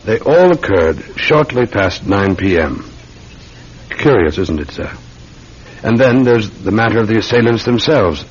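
An actor playing a polite, methodical London police detective with a British accent, deferential and musing in an old-time radio drama. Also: male voice, on the low side, sing-song, measured pace, a little hiss or hum. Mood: polite, thoughtful. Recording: dull, muffled classic radio recording.

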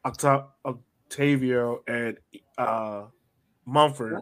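A man's voice: mid-range voice, steady tone, slow. Casual man hosting a chat. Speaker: sports podcast host.

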